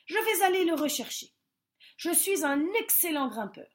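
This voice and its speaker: A small, clumsy bear cub in a picture book, eager to help yet a little sheepish, as a woman reading aloud puts him on. The voice is high and lively. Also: unhurried.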